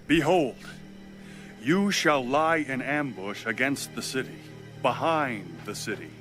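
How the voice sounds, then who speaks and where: An actor playing a commanding ancient Israelite military leader in a dramatized audio Bible, solemn and declamatory. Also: male voice, medium pitch, animated, slow, a bit bright, faint music behind.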